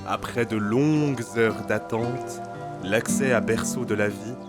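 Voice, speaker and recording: Low-voiced man narrating a fantasy tale. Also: a little slow. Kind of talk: scripted fantasy audio drama narration. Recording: loud music under the voice.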